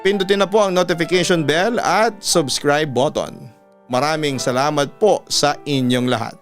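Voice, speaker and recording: A man's voice: a little high, moderate pace, soft background music. A man who hosts as a radio announcer, reading a listener's letter aloud in an earnest, dramatic way, then giving advice.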